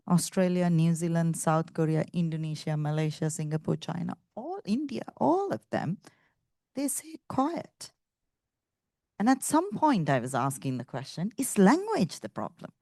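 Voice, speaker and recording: A woman's voice: fairly low pitch, big pitch swings, a little slow, dark tone, dry recording, studio quality. A woman speaking English as a second language, earnestly making her point on a discussion panel. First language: not English. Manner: earnest, thinking aloud with restarts.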